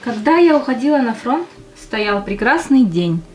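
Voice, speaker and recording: A woman's voice: on the high side, very animated, normal speed. An actress playing a determined young woman volunteering for the front, earnest and insistent, in a theatrical audio drama. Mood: wistful. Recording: music under the voice.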